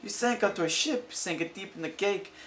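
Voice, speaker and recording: A man's voice: high voice, moderate pace, fairly bright tone, voice pushed up. A man voicing an anxious, scolding pet fish from a children's storybook, fretful and emphatic. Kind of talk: children's storybook character reading.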